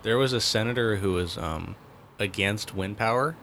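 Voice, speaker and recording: A man's voice: lowish voice, normal speed, a bit bright, a little hiss or hum. A man in casual podcast chat, laid-back and joking, with casual swearing.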